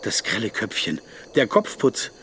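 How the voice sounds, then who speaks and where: An actor in a radio play voicing a pensive, word-obsessed lawyer lost in reverie, speaking in halting, intimate, poetic musings. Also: male voice, on the low side, huge pitch swings, a bit bright, a little hiss or hum, heavily acted. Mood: rapt.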